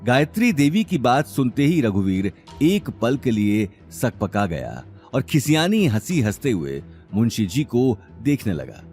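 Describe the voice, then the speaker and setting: A man's voice: medium pitch, very animated, unhurried, few pauses, darkish tone, faint music behind. A man narrating a scripted audio drama story in a dramatic style.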